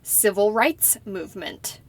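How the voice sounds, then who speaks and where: A young woman playing a polite citizenship applicant who answers slowly and carefully in a scripted practice interview. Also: medium pitch, animated, no room sound. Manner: slow, careful, deliberate answers. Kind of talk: scripted role-play interview.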